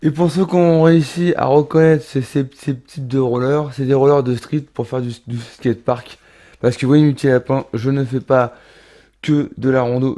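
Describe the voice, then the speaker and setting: Chatty man in his thirties, mellow voice. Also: mid-range voice. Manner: chatty, many fillers and restarts, addresses viewers as little rabbits. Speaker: roller skating vlogger. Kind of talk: casual unboxing vlog. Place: home studio.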